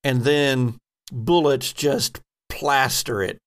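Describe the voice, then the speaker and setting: A man narrating a tabletop game as game master, with a halting, descriptive storytelling manner. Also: mid-range voice, fairly even intonation, fairly bright tone.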